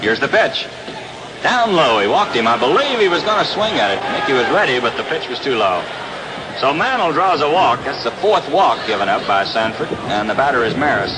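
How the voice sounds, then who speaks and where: A man's voice: medium pitch, big pitch swings, a little fast, very noisy. An American man calling baseball play-by-play in the style of a 1960s radio broadcast, recorded outdoors at a ballpark. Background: loud stadium ambience.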